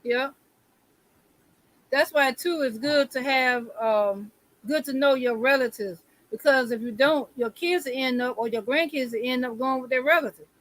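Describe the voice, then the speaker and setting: Relaxed high-voiced woman chatting. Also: normal speed, dry recording, poor audio. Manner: relaxed, advising, easygoing. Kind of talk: casual group chat.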